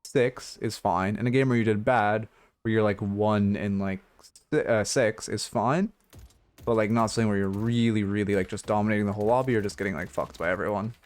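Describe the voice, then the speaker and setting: A hoarse man giving blunt, rambling game coaching notes on a livestream, full of fillers and restarts. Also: on the low side, fairly quick. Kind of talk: casual livestream coaching talk.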